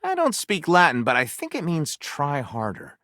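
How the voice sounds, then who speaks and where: A man's voice: a little high, wildly swooping pitch, moderate pace, pushed and bright, no echo, studio quality, heavily acted. A man voicing a grandiose, lewd, self-satisfied storyteller character in a video game, with wry, sarcastic asides. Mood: wry, dismissive.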